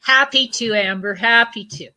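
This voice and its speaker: A woman from British Columbia, Canada, a podcast guest in casual chat, chatty and quick to laugh. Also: fairly high pitch, sharp tone.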